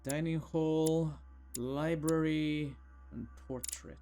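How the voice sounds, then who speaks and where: A man's voice: a little high, fairly even intonation, slow pace, dark tone, soft background music. A man giving casual let's play commentary, informal and thinking aloud as a gamer. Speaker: gamer playing through a game.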